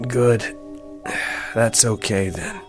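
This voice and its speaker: An actor playing a brash, sarcastic small-time hustler with an American accent, full of slangy wisecracks in a radio drama style. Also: male voice, lowish voice, drawn-out words, over music. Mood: sullen, sarcastic.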